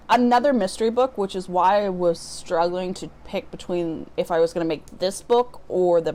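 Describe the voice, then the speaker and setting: A woman from the US Midwest chatting casually as a book vlogger, rambling and breaking off her own sentences as she goes. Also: mid-range voice. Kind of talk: casual unboxing vlog chat.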